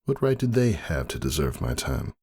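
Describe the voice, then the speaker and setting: A man narrating a first-person story dramatically, in a brooding, measured and theatrical delivery. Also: extremely low pitch, very animated, darkish tone, slightly rough. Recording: music behind the voice. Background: music.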